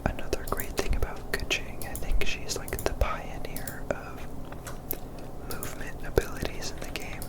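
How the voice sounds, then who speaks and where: A woman whispers her way through video game commentary in an ASMR style. She muses aloud casually and without hurry, keeping to a soft, voiceless whisper as she plays. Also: moderate pace, low-quality recording. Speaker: gaming streamer.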